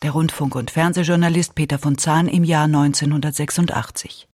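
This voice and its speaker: A woman with a husky voice reading brief scripted introductions as a radio announcer. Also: low-pitched, steady tone, fairly quick, a little mellow.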